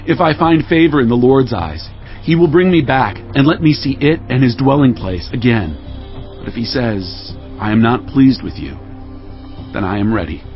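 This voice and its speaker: A man's voice: medium pitch, lively intonation, normal speed, lightly acted. A man playing a grave, humble king of ancient Israel in an audio Bible drama, earnest and authoritative. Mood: resigned, humble. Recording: dull lo-fi audio with background music.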